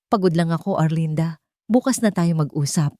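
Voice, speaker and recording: A woman's voice: sits low, moderate pace, dark tone, dry recording, studio quality, close to an everyday voice. A woman voicing a troubled, weary rich man with a serious, restrained manner in an audio love story. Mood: tired, gentle. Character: troubled, weary wealthy man, gentle but burdened.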